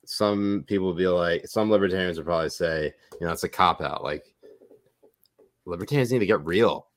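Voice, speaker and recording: Deep-voiced man in rambling political chat. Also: a little fast. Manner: rambling, lots of filler words.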